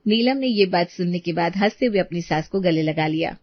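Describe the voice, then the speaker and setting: A woman's voice: on the low side, brisk, no room sound, lo-fi sound. A woman storyteller reading a scripted moral tale as narration.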